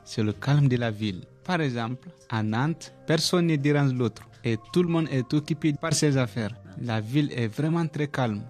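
A young male student with a Guinean accent tells of his own experience in a prepared testimony. His voice sits at a middle pitch, with faint music behind it. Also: darkish tone.

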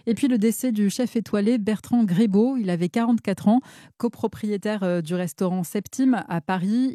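A woman's voice: mid-range voice, mellow, no room sound, studio quality. A woman reading a scripted radio news bulletin as a newsreader.

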